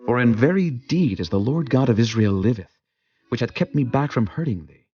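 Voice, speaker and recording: Devout warrior leader, swooping declamatory delivery. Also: male voice, mid-range voice, animated, normal speed, dark tone, husky, highs cut off. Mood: grateful, solemn. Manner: solemn, declamatory, emphatic. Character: David, devout, commanding young male warrior leader. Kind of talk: dramatized scripture acting.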